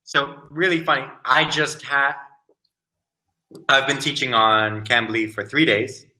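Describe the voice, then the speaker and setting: A man's voice: medium pitch, steady tone, a little slow, pushed and bright, low-quality recording. A young man, an English tutor, chatting casually on a video call, telling stories animatedly and mimicking locals.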